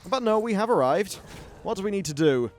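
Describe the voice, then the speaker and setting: Higher-voiced man doing game commentary. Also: animated, a little fast, a little mellow. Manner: informal, mildly sweary, reacting as the game unfolds. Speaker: gaming YouTuber. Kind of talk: casual let's-play commentary on an online fantasy game.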